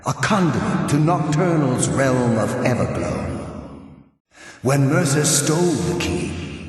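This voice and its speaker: A man playing a weary, earnest ghost of a thief mentor in a video game, explaining in a measured way through ghostly reverb. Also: medium pitch. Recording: cavernous echo, distant sounding.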